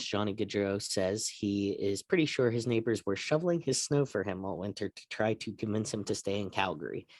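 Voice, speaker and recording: Casting: man, low register, dry close sound, casual sports show co-host. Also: a little mellow, no echo. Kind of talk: casual sports recap and discussion.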